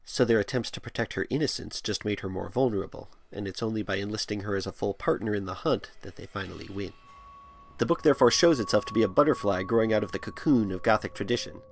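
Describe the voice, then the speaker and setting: A man's voice: on the low side, fast talker, vocal fry. A man delivering a scripted commentary as a podcast host. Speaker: podcast host and audio drama maker.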